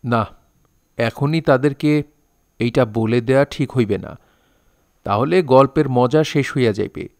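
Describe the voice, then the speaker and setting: A man playing a revered storyteller-author, measured and pompous, narrating a literary audio drama in formal style. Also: medium pitch, creaky, a little mellow, no echo, clean studio sound. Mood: imperious. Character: lofty storyteller-author revered as master, imperious and stern.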